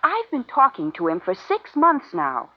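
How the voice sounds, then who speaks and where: A woman's voice: fairly high pitch, wildly swooping pitch, unhurried, dry recording, muffled recording, heavily acted. A woman playing an earnest, defiant young researcher in a 1950s radio drama, speaking with bitter, sardonic intensity on an old recording.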